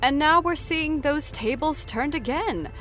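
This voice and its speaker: A woman's voice: sits high, lively intonation, a little slow, fairly bright tone, soft background music. A woman hosting an after-show in a playful, theatrical, teasing talk-show style.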